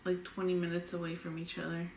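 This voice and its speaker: A woman's voice: on the low side, completely flat delivery, quick pace, darkish tone. A woman in her late twenties from Los Angeles, co-hosting a couple's Q&A vlog and telling stories in an easygoing, giggly way. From Los Angeles, USA. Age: 20s. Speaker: vlogger co-host. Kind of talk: casual couple Q&A chat. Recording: echoey room with faint hiss.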